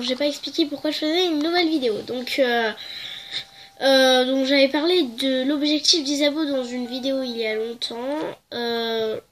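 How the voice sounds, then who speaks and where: A woman's voice: sits high, a little hiss or hum. A young woman with a head cold, chatting hesitantly with lots of fillers while showing off her game. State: congested with a cold. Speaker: gaming video host. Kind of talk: casual game walkthrough.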